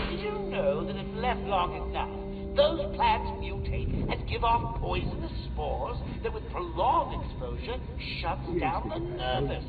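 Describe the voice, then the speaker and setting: A man voicing a gleeful, sadistic clown villain in a video game, mocking and theatrical with sudden leaps in pitch and wild cackles. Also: recorded from a distance, exaggerated voice. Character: gleeful, sadistic male clown villain taunting his enemy. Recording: tinny, narrow-band game audio with echo. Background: loud game music.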